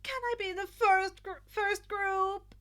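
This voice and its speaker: A woman's voice: extremely high pitch, steady tone, slow pace, pushed and bright, pitched above the performer's own voice, exaggerated voice. A woman voicing a bubbly, playful teenage girl who exclaims with great enthusiasm in a story reading. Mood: pleading.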